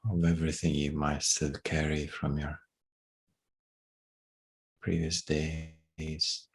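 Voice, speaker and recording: A man leading a guided meditation in a soft, breathy, hushed voice, unhurried and leaving long pauses. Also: a bass voice, flat delivery.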